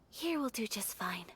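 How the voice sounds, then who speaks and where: A woman voicing a gentle, polite young noblewoman in a video game who speaks softly and formally, at times in a whisper. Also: a little high, husky, exaggerated voice. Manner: soft-spoken and formal, often whispering.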